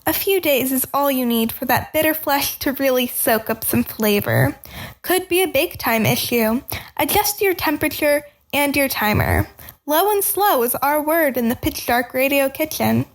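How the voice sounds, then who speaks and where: A woman's voice: sits high, expressive, normal speed, rough recording. A woman playing a ghoulish cannibal doctor in a comic radio play, dry and matter-of-fact. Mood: cheerfully ghoulish. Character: ghoulish cannibal doctor giving cheery cooking advice. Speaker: advice columnist.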